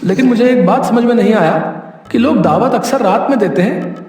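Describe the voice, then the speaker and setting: A man's voice: high-pitched, a little fast, a little mellow, recorded from a distance, voice pushed up, close to an everyday voice. A man voicing a curious, politely agreeable party guest in a cartoon story. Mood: puzzled. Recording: very echoey, music underneath.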